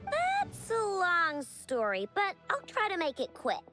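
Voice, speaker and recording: Very high squeaky little fairy companion. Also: female voice, huge pitch swings, a little slow, sharp tone, soft background music, heavily acted. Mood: earnest, puzzled. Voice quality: squeaky, childlike. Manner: earnest, questioning. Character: tiny childlike floating fairy companion, female, earnest, curious. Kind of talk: cartoonish video game voice acting.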